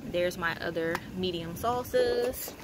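A woman vlogger narrating a haul casually at home, in a matter-of-fact, halting way as she lists items. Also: on the low side, noisy background. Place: indoors at home, carpeted room.

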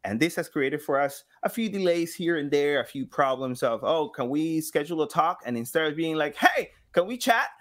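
A man in his thirties talking casually as a podcast guest, halting as he searches for words. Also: on the high side. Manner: halting, searching for words, animated quoted asides. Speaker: guest, sound designer and community engagement director at a game studio. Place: small home studio room, indoors. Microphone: microphone on boom arm.

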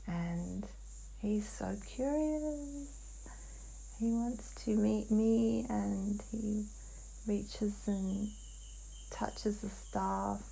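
A woman describing a trance vision in a dreamlike way as a guided hypnosis client, halting and hushed, with long pauses. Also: medium pitch, gravelly, husky, rough recording.

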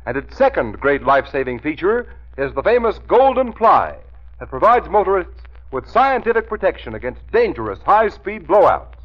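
An American man works as an old-time radio announcer, with a rough-edged voice. His delivery is emphatic and very animated, on a muffled 1930s recording. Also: a little high, moderate pace. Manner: emphatic, formal, dramatic delivery. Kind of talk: 1930s radio announcing, scripted narration and advertising.

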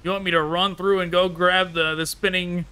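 Bright, clear-voiced man, casual game commentary. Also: high-pitched, flat delivery, moderate pace. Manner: wry, chatty, thinking aloud. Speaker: gaming video host.

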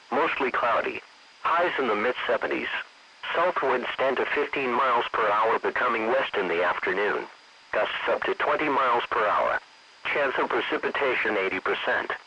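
A man's voice: medium pitch, lively intonation, normal speed, sharp tone. A man's synthetic-sounding voice reading a scripted weather bulletin in a stilted, evenly phrased way. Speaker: weather radio forecast announcer. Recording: muffled, noisy weather radio broadcast.

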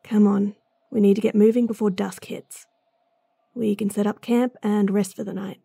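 A woman voicing a gruff, impatient female bounty hunter in a fantasy audio drama, blunt and sardonic, sighing often. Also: mid-range voice, steady tone, normal speed, mellow, no echo. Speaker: voice actress.

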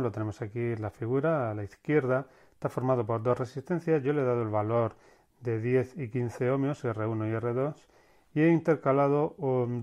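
A man's voice: medium pitch, dark tone. A man teaching electrical circuits step by step, speaking over a screen recording with some fillers and restarts. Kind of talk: screen-recorded technical lesson.

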